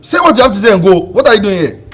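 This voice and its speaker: A man playing a defensive, hot-tempered young man who shouts in disbelief and then drops to a tense whisper. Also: mid-range voice, animated, fairly quick, lightly acted. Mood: irritated. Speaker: young man confronted by his partner. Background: music.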